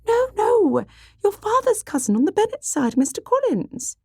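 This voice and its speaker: A woman voicing an excitable, fussy middle-aged mother in an audiobook, exclaiming and hushing in a flustered way. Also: moderate pace, no room sound, clean studio sound, heavily acted. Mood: flustered, scolding. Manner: shrill, exclaiming, breathless hushing.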